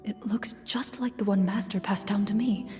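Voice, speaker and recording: A woman's voice: mid-range voice, fairly even intonation, mellow, lightly acted. Serious woman hunter narrating solemnly for a game , acted, mid register, faint music behind. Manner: measured, serious, formal. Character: composed woman hunter acting as tabletop game master. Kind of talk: video game acting, solemn scripted narration.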